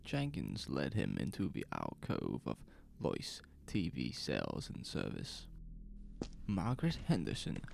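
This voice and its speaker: Male narrator for horror fiction: unhurried, creaky voice, measured and suspenseful, faint noise and ambience behind. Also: a bass voice, huge pitch swings, darkish tone. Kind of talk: scripted horror story narration.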